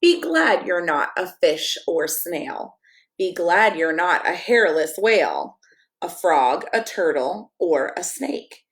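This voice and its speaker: An American woman with a lowish voice and a noticeable creaky fry speaks as if to young children at story time. Her delivery is playful and expressive, moving between sing-song read-aloud and casual, direct chat. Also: takes it slowly. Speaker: pastor reading a picture book to children.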